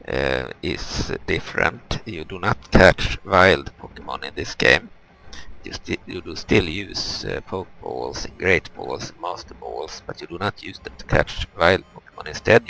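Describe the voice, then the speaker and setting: Very deep, gravelly man doing gaming commentary. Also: pushed and bright, poor audio. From Europe. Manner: halting, rambling, explanatory. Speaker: gaming video host. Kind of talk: casual let's play commentary.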